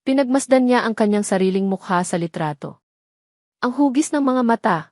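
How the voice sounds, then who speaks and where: A woman's voice: on the high side, expressive, normal speed, darkish tone, no room sound, studio quality. A woman reading a scripted dramatic story as its narrator.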